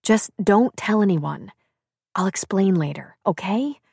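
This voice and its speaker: A woman narrator plays a protective woman friend in an audiobook novel. She sounds caring but no-nonsense, pitched a little above plain narration, recorded dry and close. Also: animated, measured pace, creaky, darkish tone, husky, no room sound, full character voice. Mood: firm, dismissive. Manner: comforting, firm. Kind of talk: audiobook character dialogue.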